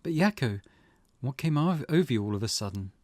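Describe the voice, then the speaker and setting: A man's voice: medium pitch, lively intonation, fairly quick, darkish tone, dry recording, lightly acted. A man voicing a cautious, level-headed teenage schoolgirl who speaks with concern in a visual novel.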